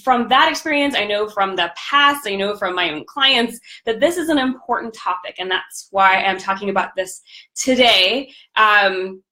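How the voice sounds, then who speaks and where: A woman with a slightly raspy voice from a recent cold, hosting a live coaching stream in an upbeat, chatty way. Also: mid-range voice, normal speed, pushed and bright, poor audio. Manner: upbeat, chatty, greets viewers by name. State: recovering from a cold. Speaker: podcast host and business coach.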